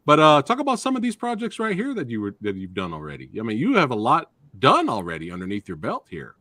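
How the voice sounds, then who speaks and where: A man's voice: mid-range voice, huge pitch swings, quick pace, bright, forceful tone, no room sound. A man hosting a live interview, enthusiastic and full of short affirmations. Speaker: host.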